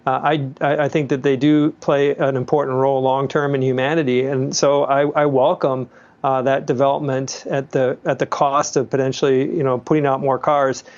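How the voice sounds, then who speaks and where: Male financial commentator, mid pitch, halting off-the-cuff delivery, slightly dull broadcast sound. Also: level tone, darkish tone. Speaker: financial analyst interviewee.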